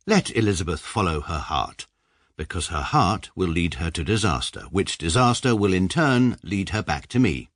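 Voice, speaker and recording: A dry, pompous barrister. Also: male voice, sits low, animated, dry recording. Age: middle-aged. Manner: dry, measured, sarcastic. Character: short, pompous, detached middle-aged male barrister. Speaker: barrister narrating his own story. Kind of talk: radio comedy drama narration.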